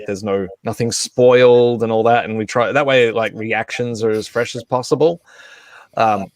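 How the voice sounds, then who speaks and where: A man hosting a casual group discussion, speaking informally and a bit haltingly. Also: mid-range voice, dry recording. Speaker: host.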